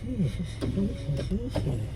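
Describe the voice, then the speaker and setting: Raspy slow-talking woman in a car. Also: lowish voice, sing-song, recorded from a distance. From Canada. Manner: candid, frank, swears freely. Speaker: vlogger. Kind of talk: casual chat while driving. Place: inside a car. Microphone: phone or camera mounted in the car. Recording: car and road noise behind.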